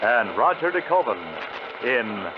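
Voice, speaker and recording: A man's voice: medium pitch, very animated, unhurried. A man giving a formal, dramatic scripted announcement as a 1950s radio announcer. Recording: noisy muffled old radio recording.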